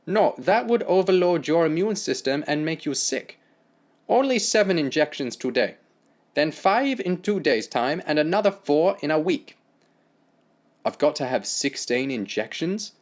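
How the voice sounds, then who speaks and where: A man's voice: fairly high pitch, very animated, a little slow, pitched above the performer's own voice. A man voicing a stern sixty-year-old German army doctor with a German accent, blunt and scornful. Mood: brisk.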